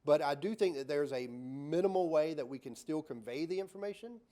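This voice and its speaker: A man's voice: a little high, lively intonation, a little fast, dark tone. A man, a designer on a panel, answering questions in a loose, filler-heavy way, often ending points with "right?" and acting out examples. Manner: many fillers and restarts, tag "right?"; explains with acted-out examples.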